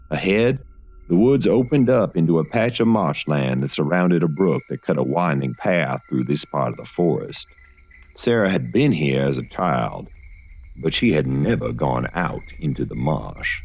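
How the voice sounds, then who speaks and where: A man reading scripted gothic horror fiction as a narrator, in a measured, literary storytelling manner. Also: very deep voice, vocal fry, muffled recording.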